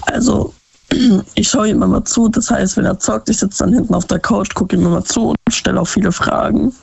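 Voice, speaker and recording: A woman calls in from Baden-Württemberg, her voice hoarse and raspy from a cold, with heavy fry. She speaks high for her voice and often gropes for words. The phone line sounds dry and close. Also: steady tone, brisk, no echo. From Baden-Württemberg, Germany. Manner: hesitant, searching for words. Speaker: caller. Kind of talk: casual phone-in chat.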